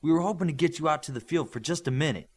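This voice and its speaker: A man's voice: on the high side, very fast, voice pushed up, lightly acted. Man voicing a brash, athletic boy hero. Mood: brisk, upbeat.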